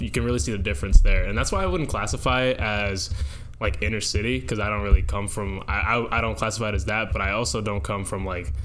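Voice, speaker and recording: A man's voice: lowish voice, fairly bright tone. A man in his twenties from New Jersey, US, co-hosting a casual podcast in an easygoing way, sometimes restarting his words. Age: 20s. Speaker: podcast co-host. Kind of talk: casual chat between friends.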